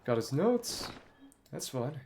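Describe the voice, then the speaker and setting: A man's voice: mid-range voice, very animated, takes it slowly, darkish tone, soft background music. A man doing casual game playthrough commentary, reading dialogue aloud and muttering asides to himself, with game sound behind him. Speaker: video game streamer. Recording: clear background game noise.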